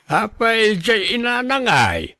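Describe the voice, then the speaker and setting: A man playing a concerned, inquisitive, mildly disapproving family relative in a radio drama, questioning in a drawn-out way. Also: sits high, wildly swooping pitch, pushed and bright, no echo, heavily acted. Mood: curious, disapproving.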